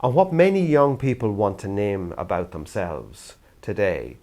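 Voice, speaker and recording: A man's voice: fairly low pitch, expressive, unhurried, a little mellow. An older Irish man from the theatre world gives an earnest, thoughtful talk to camera. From Ireland. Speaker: youth theatre practitioner.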